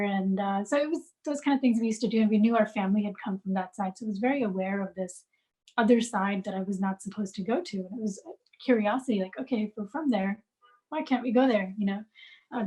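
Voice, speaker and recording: A woman in her forties from India answers an interviewer's questions. She tells her stories in a thoughtful, unhurried way. Her voice sits at a medium pitch for a woman. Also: darkish tone, poor audio. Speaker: guest, archive founder.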